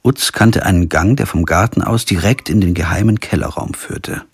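An actor playing a sober, insistent lawyer who investigates and narrates in a German radio crime drama. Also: male voice, sits low, moderate pace, breathy edge. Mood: sober narrating. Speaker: narrator and lead character.

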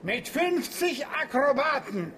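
An actor playing a boastful circus director in a radio play, with a theatrical barker's delivery. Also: male voice, extremely high pitch, very animated, takes it slowly, fairly bright tone, a little hiss or hum, exaggerated voice. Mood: announcing.

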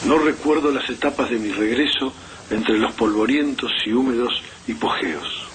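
A man giving a measured, formal literary reading. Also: mid-range voice, noisy background.

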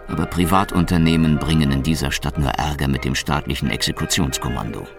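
An actor playing a cynical, world-weary Soviet secret police detective in a noir radio drama, deadpan and sardonic, with a gravelly voice. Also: male voice, very deep voice, quick pace. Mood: dry, sardonic. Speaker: narrator and lead detective. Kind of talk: noir radio drama, first-person narration. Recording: music behind the voice.

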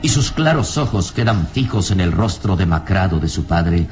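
A man's voice: sits low, big pitch swings, moderate pace, a little mellow. A man narrating a radio drama in a solemn, theatrical scripted style. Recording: vintage radio drama with music behind.

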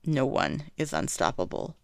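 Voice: mid-range voice, very animated, normal speed, creaky, no echo, clean studio sound. Pembaca memerankan pemuda kultivator yang kaku dan pendiam. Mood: stern. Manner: terse, formal, quiet. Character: stern reserved young male cultivator. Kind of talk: fan fiction read aloud.

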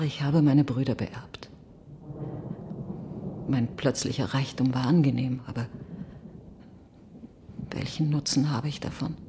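An actress playing a worldly, bitter French marquise in a radio drama, eloquent, ironic and probing. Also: female voice, extremely low pitch, background noise. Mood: confiding, wry. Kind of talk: German radio drama acting.

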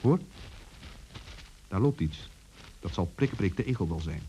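A man's voice: low voice, lively intonation, normal speed, dark tone, slight background noise, low-quality recording. A man narrating a children's story intimately, speaking directly to listeners and characters.

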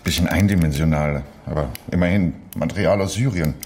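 An actor playing a jaded, demanding newspaper editor in a radio play, curt and dismissive. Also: male voice, rumbling low, brisk, a little mellow, slight background noise. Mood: dismissive, bossy.

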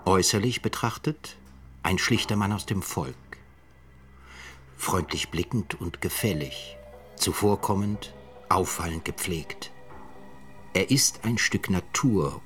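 Grave scholarly narrator for a crime radio drama, really deep voice, bit of music under. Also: male voice. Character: grave, erudite male chronicler of a 1920s murder case.

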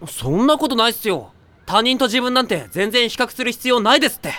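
A man playing a hot-blooded, earnest high-school athlete in an anime audio drama, loud and emphatic in rough boyish slang. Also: big pitch swings, a little fast, no room sound, full character voice.

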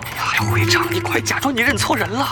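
High-voiced, cocky young gamer. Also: male voice, expressive, fairly quick, echoey room, over loud music. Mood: flustered. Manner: brash, exclamatory, whiny when thwarted. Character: cocky, hot-headed young male online gamer. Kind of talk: Chinese audio drama acting.

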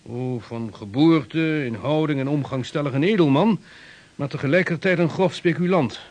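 A man's voice: fairly high pitch, moderate pace, darkish tone, lightly acted. An actor playing a formal, authoritative statesman in a period radio drama. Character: stately statesman of a young republic, adult man.

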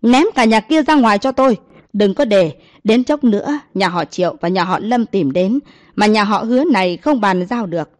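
A woman voicing a domineering old grandmother who shouts and scolds scornfully. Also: mid-range voice, expressive, normal speed, dry recording, clean studio sound, lightly acted. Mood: scolding.

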